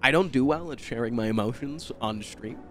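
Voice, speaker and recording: A man's voice: medium pitch, fairly quick, faint music behind. A young man with a nasal voice, swearing and joking through live gameplay commentary on stream from a room at home. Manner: profane, excitable, jokey.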